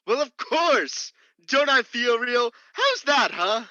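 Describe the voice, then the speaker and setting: A man's voice: very high-pitched, sing-song, takes it slowly, pushed and bright, no room sound, muffled recording, heavily acted. A man playing a cheery, boisterous long-lost brother in a radio play. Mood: cheerful.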